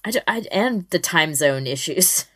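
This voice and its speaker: A woman's voice: fairly low pitch, animated, moderate pace, fairly bright tone. A woman hosting a podcast in casual chat, talking informally and conversationally. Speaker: podcast host.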